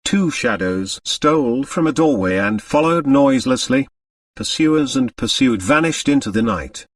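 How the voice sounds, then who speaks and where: A man reads scripted fiction as an audiobook narrator. His mid-pitched voice moves expressively while the telling stays deliberate and measured. The recording is dry, set slightly back from the mic. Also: animated, dry recording, recorded from a distance.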